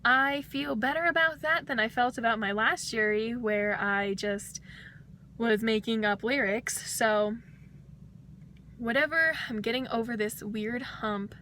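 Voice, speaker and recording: Slightly hoarse chatty young woman. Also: on the high side, unhurried, pushed and bright, slight background noise. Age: young adult. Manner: chatty, rambling with fillers and little laughs. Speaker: vlogger, voice student. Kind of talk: casual vlog talk to camera.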